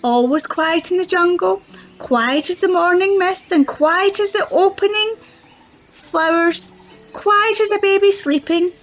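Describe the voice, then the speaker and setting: A children's librarian, reading a picture book aloud with lots of expressive sound words. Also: female voice, extremely high pitch, unhurried, faint music behind, low-quality recording. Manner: expressive, sound-effect-filled storytelling. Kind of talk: storybook read-aloud.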